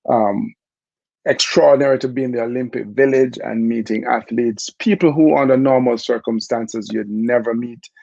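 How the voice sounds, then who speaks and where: Brief: middle-aged Jamaican man, gravelly voice, easygoing storyteller, lo-fi dry recording. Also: medium pitch, normal speed, no echo. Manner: relaxed, chatty, frequent fillers and laughs. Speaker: interview guest, former Olympic bobsledder. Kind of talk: casual storytelling interview.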